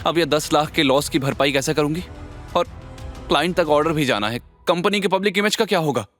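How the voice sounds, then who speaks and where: A man voicing a proud, hot-tempered young businesswoman in an audio drama, indignant and sharp-tongued. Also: on the high side, brisk, soft background music, voice pushed up, close to an everyday voice. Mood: furious. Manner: indignant, sharp-tongued, mixes English into Hindi. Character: fiery, status-proud young businesswoman, scolding her husband.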